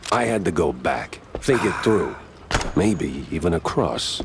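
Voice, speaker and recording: A man's voice: low voice, very animated, a little slow, gravelly, background noise, exaggerated voice. An actor playing a world-weary male mouse private eye in a cartoon noir game, with dry, sardonic delivery. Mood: grim, weary. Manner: dry, sardonic, hard-boiled. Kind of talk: film noir narration and dialogue.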